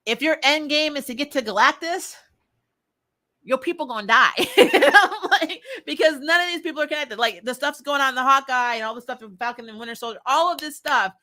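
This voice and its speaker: A woman's voice: sits high, fairly quick, sharp tone. A woman chatting casually as a livestream host, giving candid, informal fan opinions and often breaking into amusement. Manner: candid, informal, mild swearing; laughs often while talking.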